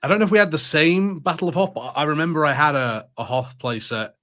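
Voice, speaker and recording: A man co-hosting a podcast, chatting casually in a halting, hesitant way. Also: on the high side, fairly bright tone. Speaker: podcast co-host. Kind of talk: casual chat.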